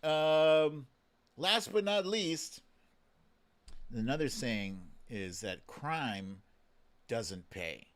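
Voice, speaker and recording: A man's voice: medium pitch, lively intonation, drawn-out words, long pauses. A man hosting a live stream in casual, playful commentary, chatting to viewers in slangy phrases that he often repeats.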